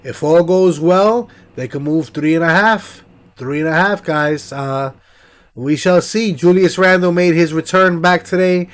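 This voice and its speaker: A young man with a congested, nasal voice hosting a casual post-game sports stream, giving shout-outs and running through stats with plenty of fillers. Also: fairly high pitch, a little slow. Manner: hype, shout-outs, stat rundown with fillers. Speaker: sports podcast host.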